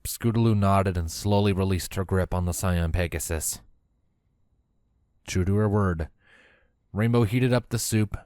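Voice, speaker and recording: Low-voiced man narrating a story, dry sound. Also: darkish tone, no room sound. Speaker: fan fiction reader, narrator.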